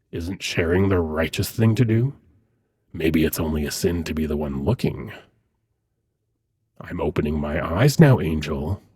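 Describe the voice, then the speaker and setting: Man acting a husky, low-voiced seductive demon prince. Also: a little mellow. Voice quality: husky, breathy, often near whisper. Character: teasing, seductive male demon prince, gently menacing. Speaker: ASMR roleplay voice actor. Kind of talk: intimate ASMR roleplay monologue.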